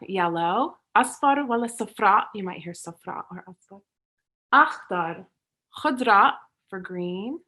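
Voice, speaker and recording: A woman raised in the United States leading a children's story time lesson, talking slowly and cheerfully for young listeners. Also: medium pitch, bright, forceful tone. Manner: upbeat, slow and clear teaching for young kids.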